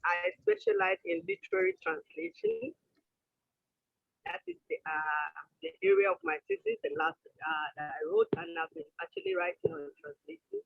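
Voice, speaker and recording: High gravelly woman on a tinny call. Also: wildly swooping pitch, moderate pace, no room sound. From Nigeria. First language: Yoruba. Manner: hesitant, with fillers and restarts. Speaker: lecturer introducing herself. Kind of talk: self-introduction at an online conference. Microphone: video call. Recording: tinny phone-like line.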